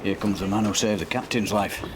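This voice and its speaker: A loyal sailor played by a man scolds in a plain-spoken, fairly low voice. The period naval drama puts clear outdoor noise behind him. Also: steady tone, a little fast, close to an everyday voice. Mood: reproving. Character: loyal, reproving British navy seaman. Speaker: actor playing a seaman.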